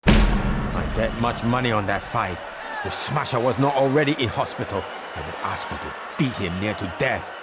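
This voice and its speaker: An actor playing a stern, menacing crime boss in a game cutscene, giving orders in formal, measured words. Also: male voice, lowish voice, animated, natural voice. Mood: angry. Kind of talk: dramatic video game cutscene acting. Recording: loud ambient and vehicle noise.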